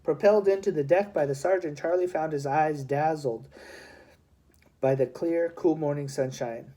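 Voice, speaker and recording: A man's voice: on the high side, mellow. A man reading a historical novel aloud as scripted storybook narration. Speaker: audiobook reader.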